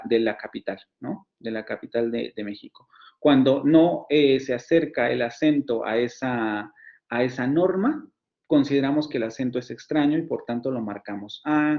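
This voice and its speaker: Man, Mexican Spanish accent, university professor, online lecture, fillers and restarts, medium pitch, muffled lo-fi audio. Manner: explanatory, many fillers and restarts, rhetorical questions. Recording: dull lo-fi sound.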